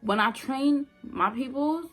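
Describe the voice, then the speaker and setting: A woman's voice: high-pitched, takes it slowly, fairly bright tone, soft background music. A young woman, a former nursing assistant, telling her story in a casual vlog, blunt and emphatic, repeating her points for effect.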